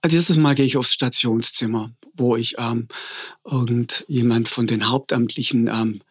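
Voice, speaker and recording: A man in his fifties, a hospital chaplain, answering interview questions thoughtfully with frequent pauses and hesitations. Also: mid-range voice, fairly even intonation, breathy edge. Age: 50s.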